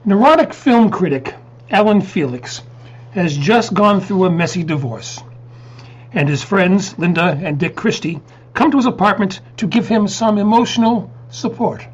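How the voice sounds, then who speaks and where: A man's voice: high voice, normal speed, breathy edge. A man acting as announcer, reading a scripted introduction to a stage scene, with dull sound.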